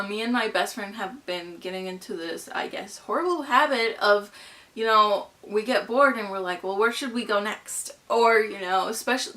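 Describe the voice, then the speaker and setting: Bubbly bright-voiced young woman vlogging. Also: mid-range voice. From Mexico. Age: 20s. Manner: bubbly and gushing, lots of fillers like "you know" and "like". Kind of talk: casual chatty vlog.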